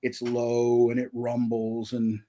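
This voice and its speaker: A man's voice: monotone, takes it slowly, dark tone. A man, an author and audiobook narrator, chatting casually on a live stream about his home recording setup, a little self-deprecating, with frequent restarts. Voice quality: slightly high voice. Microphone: cheap stand mic in a foam sound box.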